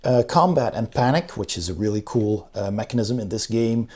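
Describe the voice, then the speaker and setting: A man's voice: fairly low pitch, lively intonation, normal speed, darkish tone. A man reviewing in a casual, informal and enthusiastic way, talking through the material as he goes. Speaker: board game reviewer.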